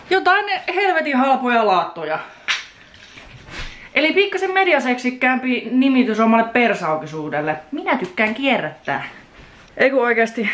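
A woman's voice: on the high side, fairly bright tone. A young woman in her twenties vlogging a do-it-yourself renovation, chatty and self-mocking, cursing casually as she talks to a handheld camera in a bare room. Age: 20s. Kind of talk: casual DIY renovation vlog. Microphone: handheld camera mic. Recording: echoey empty room with background music.

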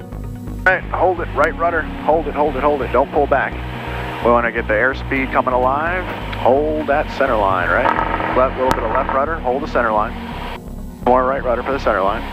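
A man's voice: mid-range voice, normal speed, dull audio. Male flight instructor, directive, encouraging, repeats short commands, cockpit intercom sound, music in the background.

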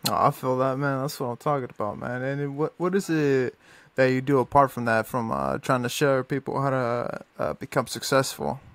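A young man from Arizona hosting an interview, speaking casually and haltingly with many fillers. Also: mid-range voice, steady tone, no echo. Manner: halting, lots of fillers and restarts. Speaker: podcast host, interviewer. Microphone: desk mic in front, earbuds.

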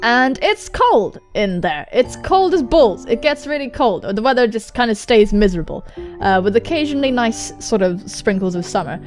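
A young woman hosts a let's play over soft background music. Her voice sits fairly high and swings widely in pitch as she reads game dialogue aloud. She adds jokey, animated asides and swears freely. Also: normal speed. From England. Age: young adult. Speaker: gaming video host. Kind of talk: let's play commentary and dramatic reading of on-screen dialogue. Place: indoor room. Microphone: large microphone close to the mouth.

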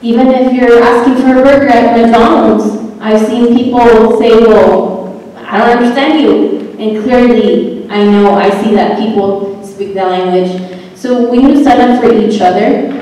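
A woman's voice: a little high, steady tone, a little slow, mellow. A woman speaking on a panel, earnest and urging, repeating her calls to action. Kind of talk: panel talk. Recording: echoey hall from far off.

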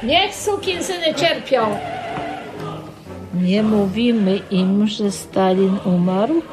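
A woman's voice: mid-range voice, expressive, slow, over music. An actress playing a cynical, sardonic saleswoman showing off dubious attractions in a radio drama.

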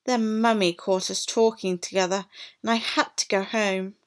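A woman reading a picture book aloud to children as a teacher, in an animated, deliberate way. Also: mid-range voice. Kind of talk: expressive storybook read-aloud for children.

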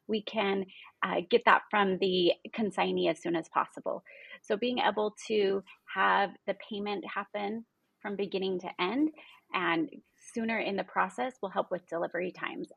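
A woman's voice: medium pitch, vocal fry, fairly bright tone, slightly rough, dry recording, rough recording. A woman in her thirties giving explanatory, businesslike interview answers as a guest on a video call. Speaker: interview guest, logistics partnerships executive. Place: indoor room, video call. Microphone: video call, close framing.

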